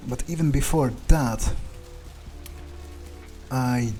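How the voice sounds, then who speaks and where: A man's voice: medium pitch, takes it slowly, warm tone, faint music behind, natural voice. A man playing a cynical, pragmatic vampire in a video game, thinking aloud in a musing, hesitant, casually profane way. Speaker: player doing an in-character playthrough. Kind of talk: improvised in-character thinking aloud over gameplay.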